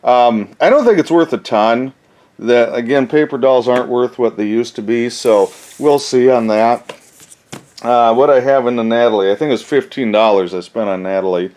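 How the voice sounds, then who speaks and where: A man's voice: mid-range voice, moderate pace, darkish tone. A man hosting a casual, unscripted collector's haul video, thinking aloud in a rambling way with folksy phrasing. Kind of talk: casual show-and-tell, unscripted.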